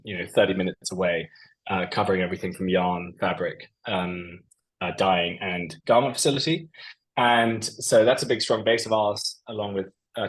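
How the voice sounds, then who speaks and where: A man's voice: on the low side, low-quality recording. A man giving a loose, conversational business presentation over slides, with many fillers as he talks. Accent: non-Portuguese. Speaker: company presenter.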